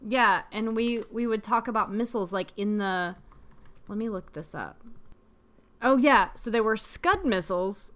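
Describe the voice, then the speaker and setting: A woman's voice: mid-range voice, measured pace, fairly bright tone. Woman, 30s, podcast co-host. Casual, chatty, mid-range voice. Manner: chatty, reads research notes aloud. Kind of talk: casual chat.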